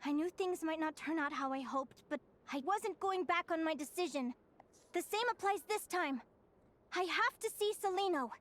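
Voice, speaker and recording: A woman playing a young fae girl in an English anime-style game, earnest and vulnerable yet brave, with emotional, halting sincerity. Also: very high voice, a bit bright. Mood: reflective, resolute.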